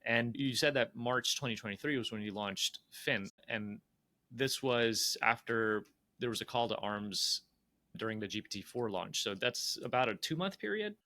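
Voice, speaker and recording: Bright-voiced male interviewer. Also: mid-range voice, moderate pace, no room sound. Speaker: interview host. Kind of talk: conversational interviewing.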